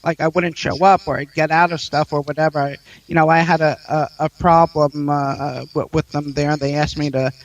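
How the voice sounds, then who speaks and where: New comedian guy, kinda high voice, stammering deadpan bragging with lots of uhs. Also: dry recording, poor audio. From Queens, New York. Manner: mock-boastful, halting with many fillers and stutters. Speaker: comedian guest. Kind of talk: deadpan comic interview.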